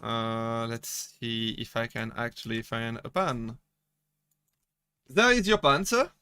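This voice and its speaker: A man's voice: mid-range voice, takes it slowly, sharp tone, clear, resonant tone, dry recording. A young man from France with a French accent, chatting casually with his live-stream chat, laughing often and restarting his sentences as he jokes. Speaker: VTuber streamer.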